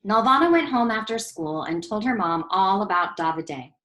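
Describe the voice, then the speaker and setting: Female children's storyteller: mid-range pitch, expressive read-aloud delivery, clear phrasing. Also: moderate pace, rough recording.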